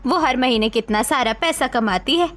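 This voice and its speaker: A woman acting scornful mothers-in-law and hurt young daughters-in-law in an animated family drama, with emphatic melodramatic delivery. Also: sits high, normal speed, faint music behind. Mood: reproachful, hurt.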